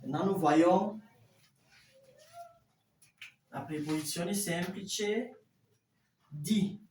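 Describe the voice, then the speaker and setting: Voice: medium pitch, slow, a little mellow. A language teacher giving a classroom lesson in a didactic way, carefully repeating example phrases. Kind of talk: classroom Italian lesson explained in French. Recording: roomy echo, distant mic, faint noise.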